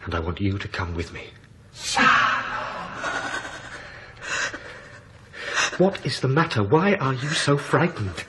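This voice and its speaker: An actor playing an indignant, earnest British lover in a radio drama, speaking with emphatic theatrical intensity. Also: male voice, mid-range voice, sing-song, a little fast, very noisy, natural voice. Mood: urgent pleading. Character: indignant, earnest man in love with a married woman.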